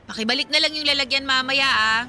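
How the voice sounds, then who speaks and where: A woman's voice: high-pitched, fast, bright, forceful tone, heavily acted. An actress playing a friendly, teasing young woman chatting with her best friend in a Filipino radio drama. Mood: playfully teasing.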